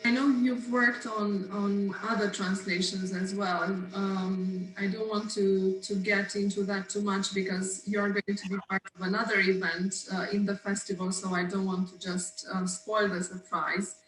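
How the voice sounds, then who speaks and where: A woman's voice: medium pitch, flat delivery, unhurried, few pauses. A woman hosting a seminar, asking a guest polite, careful questions with some hesitation. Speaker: host. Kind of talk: interviewing a guest. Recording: echoey, distant mic with faint hum.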